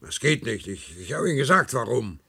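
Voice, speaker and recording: Radio play role: very old worker, creaky husky voice, proud of duty, set in his ways, trips over words when pressed. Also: medium pitch, sing-song, fairly quick, sharp tone, heavily acted. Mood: alarmed, angry. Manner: stammers and repeats words when agitated.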